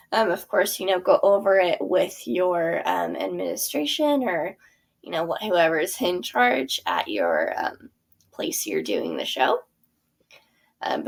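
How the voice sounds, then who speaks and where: A woman's voice: medium pitch, gravelly. A woman teacher casually walking through her theater curriculum, chatty and full of fillers. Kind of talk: casual walkthrough of a product.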